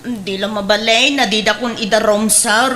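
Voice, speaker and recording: A woman's voice: mid-range voice, fairly even intonation, normal speed, sharp tone, slight background noise, close to an everyday voice. A woman playing a sassy, lazy, thieving housemaid in an Ilocano radio drama, with a whiny, exaggerated delivery and a Filipino accent. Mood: pleading. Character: brash, lazy, thieving young housemaid who talks back. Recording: long hall reverb.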